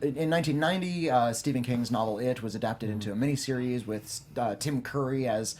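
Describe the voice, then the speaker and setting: A man hosting a casual panel discussion about films, speaking in a hesitant, halting way. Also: mid-range voice, darkish tone, slight background noise. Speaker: host of a film review panel show. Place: studio.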